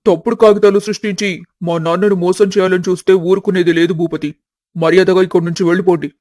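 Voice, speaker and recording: A man's voice: high-pitched, flat delivery, fast, darkish tone, no room sound, natural voice. Man acting a stern, protective grown son. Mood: angry. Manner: stern, confrontational. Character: angry protective grown son defending his father. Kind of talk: melodramatic family storybook acting.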